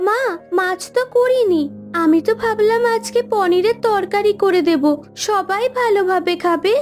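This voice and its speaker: A woman voicing a gentle young daughter-in-law in a cartoon, pleading and easily hurt. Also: extremely high pitch, moderate pace. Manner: plaintive, pleading. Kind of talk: Bengali cartoon dialogue acting. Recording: background music under voice.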